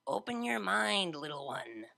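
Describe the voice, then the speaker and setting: Man doing a creaky, brash young DJ mare. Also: sits high, a little slow, voice pushed up, full character voice. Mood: teasing. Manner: slangy, excitable, flippant. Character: brash, laid-back young female unicorn DJ. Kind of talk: fan fiction audiobook character voice.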